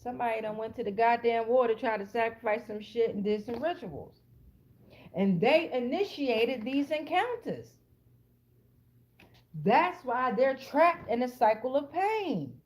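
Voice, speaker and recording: The speaker is an American woman with a somewhat high voice. She improvises a tarot reading for her online audience in a casual, streetwise way. Her talk is blunt and full of profanity, and she circles back to phrases to drive them home. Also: expressive, a little slow. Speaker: tarot card reader, channel host.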